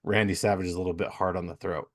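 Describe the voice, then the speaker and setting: A man's voice: sits low, level tone, racing, no room sound, studio quality. A man hosting a podcast in a casual, chatty style. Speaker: podcast host. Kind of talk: casual chat.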